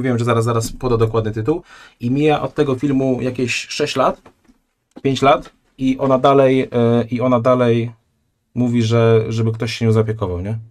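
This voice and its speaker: Blunt, indignant male streamer. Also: medium pitch. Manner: blunt, indignant, often drops to a quiet disgusted tone. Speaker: streamer reacting to a video. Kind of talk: live stream reaction commentary.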